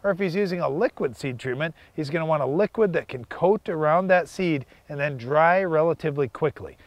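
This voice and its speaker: A man's voice: on the high side, fairly quick, no echo. A man in his thirties from the United States co-hosting a farm show, explaining step by step with small fillers, outdoors in a field on a lapel mic. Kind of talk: scripted educational farm talk.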